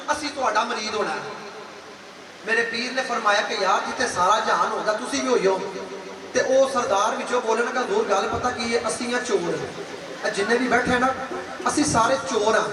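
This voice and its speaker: A man preaching to a gathering, telling a religious story dramatically, acting out dialogue and repeating phrases for emphasis. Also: extremely high pitch. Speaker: Islamic preacher. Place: large gathering with audience. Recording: echoey reverberant hall, distant mic, crowd.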